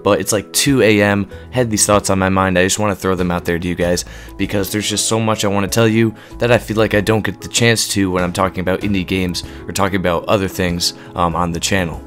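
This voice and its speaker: A man in his twenties with a hoarse voice, monotone and rambling, talking earnestly and unscripted to his viewers. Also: low-pitched, quick pace, soft background music. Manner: rambling, earnest, many ums. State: hoarse, voice going from illness. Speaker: gaming YouTuber. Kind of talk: unscripted casual talk to camera.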